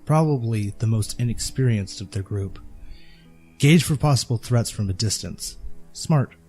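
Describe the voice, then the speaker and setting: A man playing a stern fighter who leads a small squad in an audio drama, terse and husky. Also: medium pitch, lively intonation, a little slow, a little mellow, faint music behind. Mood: curt, assured. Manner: terse, authoritative.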